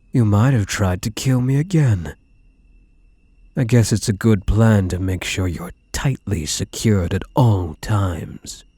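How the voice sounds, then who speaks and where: A low, dark voice with a slightly husky grain belongs to a man acting a possessive yandere kidnapper on his wedding day. He doles out smug, mock-sweet endearments and quiet threats, swinging expressively in pitch. It is ASMR roleplay drama with a menacing, doting edge. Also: no echo, heavily acted. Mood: menacing, mock-tender. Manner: slow, taunting, mock-tender endearments.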